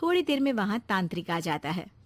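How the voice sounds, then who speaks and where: A woman's voice: mid-range voice, sing-song, brisk, dry recording. A woman narrating a scripted moral story as its storyteller.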